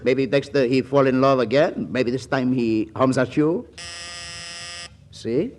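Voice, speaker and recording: An actor playing an excitable, mooching Latin friend with a Spanish accent and halting, mangled English in a 1940s radio comedy. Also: male voice, mid-range voice, natural voice. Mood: wistful, prying. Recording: noisy vintage 1940s radio broadcast.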